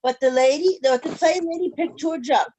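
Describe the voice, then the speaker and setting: A woman reading a picture book aloud to children in an expressive storytelling way over a video call. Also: sits high, normal speed. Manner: expressive storytelling with questions to listeners. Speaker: children's story-time reader. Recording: noisy video call recording.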